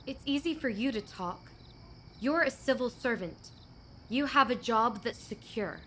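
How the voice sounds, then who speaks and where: High-voiced bitter young woman. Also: expressive, a little slow, pushed and bright, a little hiss or hum. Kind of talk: radio play acting.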